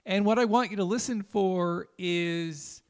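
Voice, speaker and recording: A man with a raspy voice, chatting casually while teaching jazz piano on a livestream. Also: high voice, measured pace. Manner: relaxed, chatty, addresses chat viewers.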